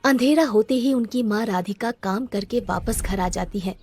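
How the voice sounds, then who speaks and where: High-voiced woman narrating children's stories. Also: normal speed, darkish tone, soft background music. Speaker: storyteller. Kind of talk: scripted children's story narration.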